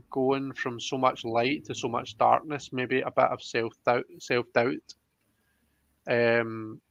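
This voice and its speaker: A man's voice: mid-range voice, creaky, fairly bright tone, no echo. Guy hosting a tarot chat, casual, dull lo-fi audio, no room sound. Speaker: host of a live tarot reading show. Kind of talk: casual chat, reading viewer comments aloud.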